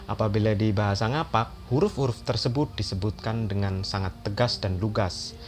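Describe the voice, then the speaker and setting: A man narrating an educational history video as a voice-over, in a calm and steady explaining manner. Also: on the low side, moderate pace. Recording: voice-over with loud background music.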